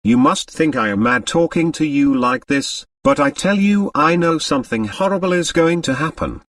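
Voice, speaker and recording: A man's voice: fairly high pitch, big pitch swings, normal speed, a bit bright, far from the mic, voice pushed up. A man voicing a distraught, wealthy young married woman in an audiobook, agitated and confessional. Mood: fearful.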